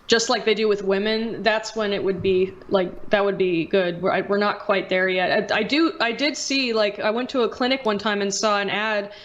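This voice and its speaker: A woman's voice: medium pitch, steady tone, moderate pace, few pauses, lo-fi sound. A woman host in a casual podcast chat, speaking candidly and informally and swearing freely.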